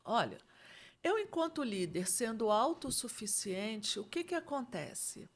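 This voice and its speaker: A woman's voice: fairly high pitch, very animated, measured pace. A middle-aged Brazilian woman with a Brazilian Portuguese accent, speaking as a guest expert and explaining leadership ideas in a reflective, conversational way. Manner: reflective, uses frequent "né?" and "entendeu?".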